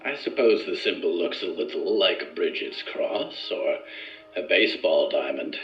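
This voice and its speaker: An actor playing a formal, earnest male research subject in an audio drama, with measured, deliberate wording. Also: sits low, animated. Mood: measured, reserved. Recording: echoey room, muffled, music behind.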